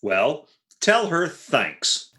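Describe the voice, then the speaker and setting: A man's voice: fairly high pitch, wildly swooping pitch, drawn-out words, sharp tone, full character voice. A man playing a jealous, exasperated husband in a 1940s-style radio comedy, sarcastic and huffy. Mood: hurt, indignant. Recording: roomy, echoing recording.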